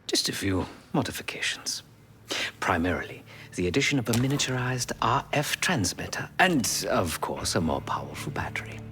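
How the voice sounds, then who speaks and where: Theatrical low-voiced tech expert. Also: male voice, sing-song, normal speed, pushed and bright, slight background noise. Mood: courteous, fussy. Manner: formal, theatrical, precise diction. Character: eccentric, fussy male tech expert, courteous and sly. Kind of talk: video game cutscene acting.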